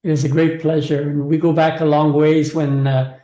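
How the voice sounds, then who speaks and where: A man conducts a podcast interview in a fairly high-pitched, softly mellow voice. The sound of an echoey room surrounds his speech. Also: level tone, normal speed, lo-fi sound. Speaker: podcast host. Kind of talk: interview conversation.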